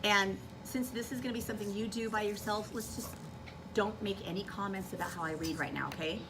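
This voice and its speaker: A woman's voice: medium pitch, brisk, music in the background. A woman teacher talking to children in a classroom, giving an instructive demonstration and thinking aloud step by step. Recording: noisy classroom, distant microphone. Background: room noise, children's voices.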